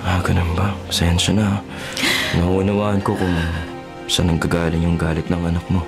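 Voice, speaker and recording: Low-voiced, polite Filipino journalist. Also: male voice, level tone, normal speed, vocal fry, music in the background. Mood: gentle, probing. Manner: courteous, tentative, conversational. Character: polite, inquisitive male journalist visiting a small town. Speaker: radio drama actor. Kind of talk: Filipino radio drama acting.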